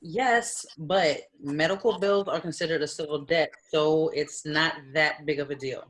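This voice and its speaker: A woman's voice: low voice, a little slow, a bit bright. A woman playing a blunt, level-headed, easily exasperated lawyer friend in a comedy table read. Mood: reassuring, matter-of-fact. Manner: blunt, matter-of-fact.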